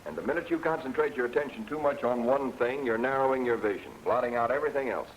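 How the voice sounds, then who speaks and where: A man's voice: mid-range voice, fast. American man narrating noisy 1940s training film. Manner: explanatory, plain-spoken, addresses the learner directly. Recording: noisy 1940s film soundtrack, aircraft drone.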